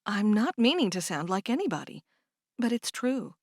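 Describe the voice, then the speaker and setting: A woman reading aloud and voicing a kind, calm older sister who speaks gently and reassuringly. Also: mid-range voice, moderate pace, no room sound, clean studio sound. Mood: soothing.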